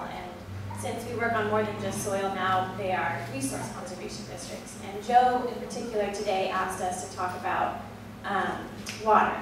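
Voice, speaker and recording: A woman with a quiet, soft voice, giving an explanatory talk as a conservation district director, with many ums. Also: medium pitch. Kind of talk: presentation to a club meeting. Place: meeting room. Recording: echoey room from far off, noisy.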